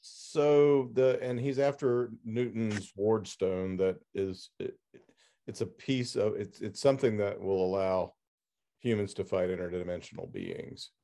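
A man's voice: on the low side, expressive, unhurried, warm tone. A man hosting a podcast, chatting casually as he interviews a guest about a book.

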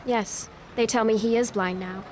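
An actress playing a young Roman noblewoman in an audio drama, proud and desperate, speaking with sharp emotion. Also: fairly high pitch, steady tone, moderate pace, lightly acted. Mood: bitter, proud. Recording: faint background noise.

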